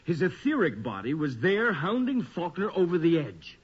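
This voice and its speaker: An actor playing an earnest, uneasy investigator who explains the supernatural in a grave manner in a radio drama. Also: male voice, a little high, wildly swooping pitch, normal speed, breathy edge, heavily acted. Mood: insistent. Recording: muffled old radio recording.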